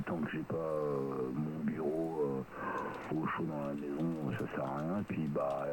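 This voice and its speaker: A man chatting casually over amateur radio with a hesitant, rambling delivery. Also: extremely low pitch. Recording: very noisy radio transmission.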